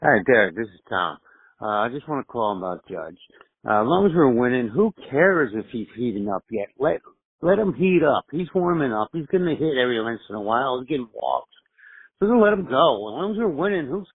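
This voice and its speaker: A man's voice: medium pitch, very animated, brisk. A man leaving a blunt, emphatic voicemail with a casual sports opinion. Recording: rough narrowband phone voicemail.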